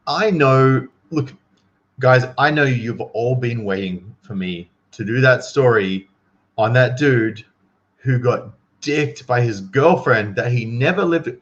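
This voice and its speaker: A man's voice: medium pitch, lo-fi sound. A man giving casual, opinionated commentary to livestream viewers in an incredulous, emphatic, slangy manner.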